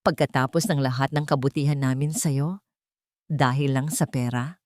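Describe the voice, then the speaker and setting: A woman's voice: extremely low pitch, steady tone, moderate pace, darkish tone, no echo, studio quality, pitched below the performer's own voice. A woman voicing a stern, emotional wealthy young man in an audio romance story. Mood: angry, cold. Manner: emphatic, commanding, emotional.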